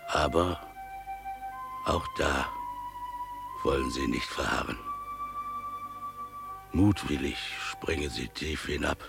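A humble, pious keeper of beasts in a radio drama. His voice is extremely low and creaky, and he speaks slowly and solemnly, with reverence. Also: music in the background, exaggerated voice. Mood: solemn, reverent. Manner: slow, solemn, reverent delivery. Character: humble, pious male animal keeper, father pleading with a prince. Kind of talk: German radio play acting.

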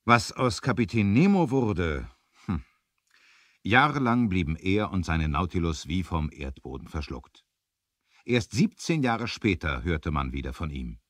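A man narrating a scripted 1970s adventure audio drama, measured, with dramatic emphasis. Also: low-pitched, very animated, dry recording, studio quality.

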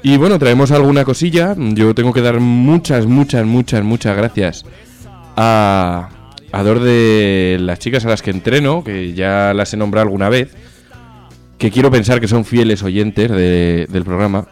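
A man's voice: fairly low pitch, measured pace, darkish tone, smooth, soft background music. A man with an accent from Spain co-hosts a casual radio chat show in an informal, jokey way, swearing freely. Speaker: co-host of a music and chat show.

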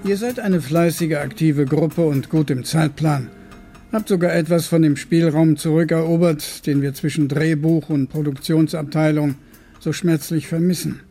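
An actor playing a tactful male boss in a radio drama, speaking in a measured, authoritative way. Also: a little high, steady tone, warm tone, faint music behind. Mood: firm, tactful.

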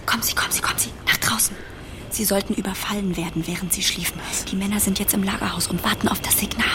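A woman's voice: mid-range voice, steady tone, quick pace, whispered, natural voice. An actress playing a fearless, protective young woman in a German radio play, giving urgent commands in short phrases. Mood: hushed, urgent. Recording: loud music behind the voice.

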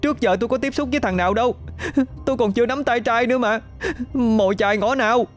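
A man's voice: expressive, darkish tone, faint music behind. A man voicing a frightened young female student who protests and pleads through tears. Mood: tearful indignant. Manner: shrill, sobbing, rapid protesting.

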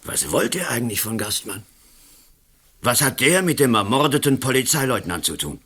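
An actor playing a pompous, imperious national councillor and colonel in a radio play, with a Swiss accent and a gravelly, husky voice. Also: male voice, medium pitch, sing-song, normal speed, a bit bright. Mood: curt, self-important.

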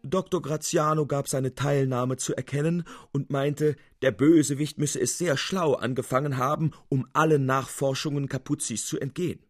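A man's voice: medium pitch, steady tone, moderate pace, few pauses, over music. A man reading a literary tale as narrator in an audiobook style.

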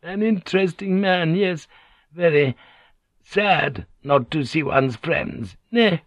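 Man doing a sly, plaintive woman, pitched up. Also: normal speed, fairly bright tone, breathy edge, no room sound, dull audio. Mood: sly courtesy. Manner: unctuous, plaintive, insinuating. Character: sly, aggrieved woman, falsely courteous and complaining. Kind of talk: audiobook character dialogue.

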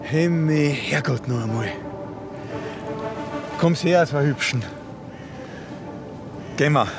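A man with an Austrian accent playing a gruff, bossy character who orders his companions about in a radio drama. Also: a little high, takes it slowly, over music. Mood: exasperated.

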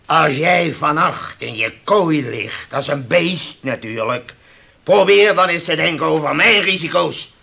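Stern bossy shipowner in old radio play, gravelly, piercing bright voice. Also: male voice, a little high, lively intonation, a little slow, exaggerated voice. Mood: lecturing. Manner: lecturing, indignant, scolding. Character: haughty, hard-nosed shipowner, a man of authority. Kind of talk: Dutch radio play acting.

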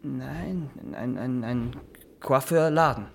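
Nervous, rambling Swiss barber. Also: male voice, medium pitch, creaky, slight background noise. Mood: sheepish, evasive. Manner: rambling, halting, repeats himself, keeps adding tag questions. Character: small-town barber, nervous, self-justifying, boastful executioner applicant. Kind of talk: naturalistic radio drama acting.

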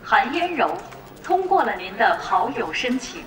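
A woman's voice: fairly high pitch, very animated, measured pace, echoey room, noisy background. An actress playing a polite female game system voice in an audio drama, making formal announcements.

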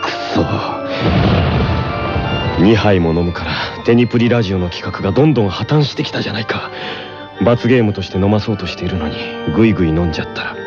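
Anime radio drama: man as obsessive young schemer, low, gloating, muttered monologue, dull recording. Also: fast, over loud music, natural voice. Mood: frustrated.

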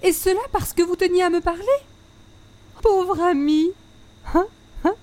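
Shrill, haughty woman. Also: fairly even intonation, a little slow, a little mellow, slight background noise. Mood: outraged, mocking. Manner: theatrical, scolding, emphatic. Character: indignant, haughty, shrill female homeowner scolding an intruder. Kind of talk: 1940s-style radio comedy acting.

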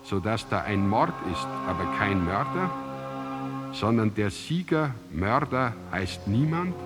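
A radio drama narrator with a fairly low voice tells an ancient Roman tale. He is sober and declarative, with music playing behind him. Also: very animated, unhurried. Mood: measured.

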